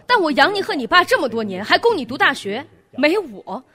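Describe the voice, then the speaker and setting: A woman's voice: sits high, sing-song, a little fast, fairly bright tone. A woman playing a domineering, mercenary stepmother who scolds and sneers in an audio drama. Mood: scornful. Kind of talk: Chinese audio drama acting.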